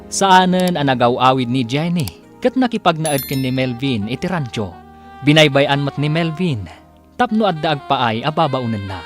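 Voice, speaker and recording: A man narrating and announcing a radio drama serial in a dramatic scripted style. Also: medium pitch.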